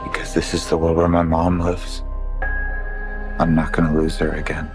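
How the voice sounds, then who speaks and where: An actor playing an anguished superhero in dramatic film trailer dialogue. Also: male voice, a bass voice, monotone, moderate pace, over music. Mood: resolute grief.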